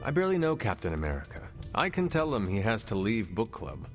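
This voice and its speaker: A man's voice: on the low side, wildly swooping pitch, fairly quick, soft background music. A man playing an earnest, drily teasing sword-wielding hero who speaks formally in video game cutscene dialogue. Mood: inquisitive.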